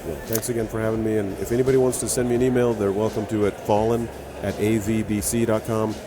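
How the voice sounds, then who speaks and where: A man's voice: lowish voice, level tone, fast, over music. A man with a Hawaiian accent, a brewer and author telling stories as a guest in a casual interview.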